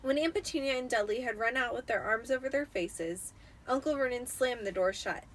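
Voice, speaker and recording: A woman's voice: fairly high pitch, fairly quick, a little hiss or hum. A tipsy woman giving an amateur dramatic reading of a storybook. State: tipsy after drinking wine.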